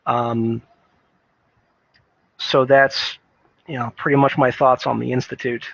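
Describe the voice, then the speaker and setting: Dull lo-fi recording, dry sound, man, mid-pitched voice, unscripted gaming commentary, halting, thinking aloud. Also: flat delivery, gravelly, fairly bright tone, no echo. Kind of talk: unscripted opinion monologue on video games.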